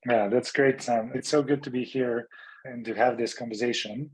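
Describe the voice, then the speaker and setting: A man's voice: mid-range voice, fairly even intonation, moderate pace, slightly rough, low-quality recording. A middle-aged man from Serbia, a physician and health activist, speaking as an interview guest in a reflective, storytelling way with frequent restarts.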